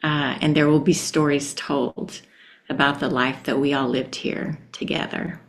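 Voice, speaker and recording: A middle-aged woman hosting a reflective conversation, speaking hesitantly with fillers and soft laughs. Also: sits low, flat delivery, unhurried, a little hiss or hum.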